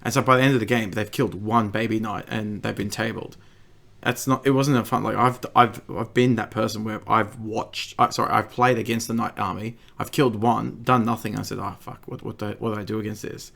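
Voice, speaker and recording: An Australian man hosting a casual podcast chat, croaky and gravelly from a recent cold, stammering through restarts. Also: fairly low pitch, steady tone, brisk, a bit bright. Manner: stammering restarts, laughs often. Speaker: podcast host.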